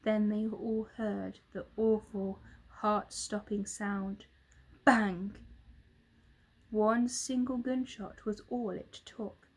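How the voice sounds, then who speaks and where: A woman narrating a children's storybook in a dramatic, expressive way. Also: medium pitch, slow, husky, rough recording. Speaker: storybook reader, teacher.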